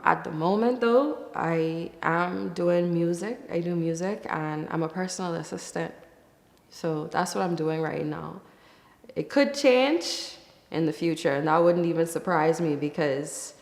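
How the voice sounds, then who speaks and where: A woman from the Bahamas giving casual, reflective interview answers in a thoughtful, candid way, with pauses as she thinks. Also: low-pitched, vocal fry. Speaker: interview guest, musician. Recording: echoey, reverberant room.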